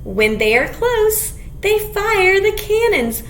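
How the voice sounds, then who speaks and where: A woman with a very high voice leads a children's storytime at an unhurried pace. She speaks expressively to young listeners, with some creak in her voice. The small room adds echo. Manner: expressive, clearly addressing young children, with simple questions. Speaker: children's librarian leading storytime. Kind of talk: animated children's picture-book read-aloud. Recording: echoey small room, background hiss.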